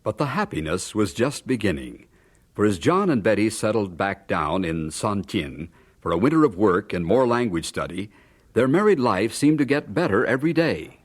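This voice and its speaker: A man's voice: lowish voice, very animated, moderate pace. A man with an American accent reading scripted narration as the narrator of a radio drama.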